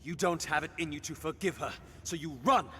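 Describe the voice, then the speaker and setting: A man's voice: on the high side, brisk, a bit bright, big-room sound, a little hiss or hum, natural voice. An actor playing a passionate, blunt male companion in a dramatic video game, speaking in an emphatic, confronting way. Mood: confronting, heated.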